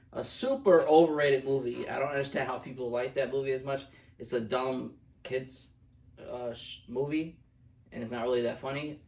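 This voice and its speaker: A young man in a casual, profane movie review chat, blunt, opinionated and joking. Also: mid-range voice. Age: young adult. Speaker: co-host of a movie review show. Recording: roomy echo, distant from the mic.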